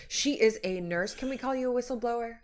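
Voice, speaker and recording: A woman's voice: fairly high pitch, a little fast, a little mellow. Nasal woman host with a cold. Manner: playful, bantering. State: stuffy nose from a cold. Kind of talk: casual interview hosting.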